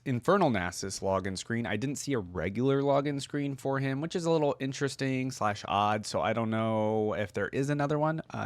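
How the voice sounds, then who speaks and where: A man hosting a gaming reaction video, giving informal, musing commentary as he thinks aloud. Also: medium pitch.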